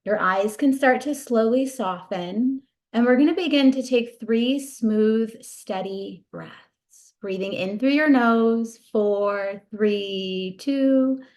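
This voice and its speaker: A woman leading a guided body scan meditation in a soothing, gentle, unhurried manner. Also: fairly high pitch.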